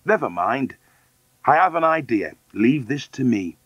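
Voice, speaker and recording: A man's voice: medium pitch, sing-song, measured pace, fairly bright tone, no echo, highs cut off, pitched above the performer's own voice. A man voicing a lazy, scheming adoptive father in a children's storybook, wheedling and mock-polite. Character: sly, lazy, falsely sweet adoptive father.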